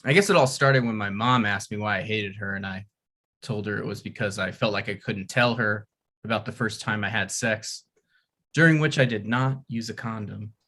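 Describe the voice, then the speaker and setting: A man reading the part of an earnest, odd young guy who rambles on and trips over his words. His voice is bright and forward. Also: fairly low pitch, moderate pace. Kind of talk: table read of a comedy script.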